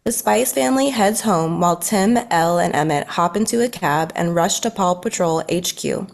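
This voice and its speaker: A woman's voice: on the low side, moderate pace, creaky. A woman reading scripted narration for a children's story at a table read.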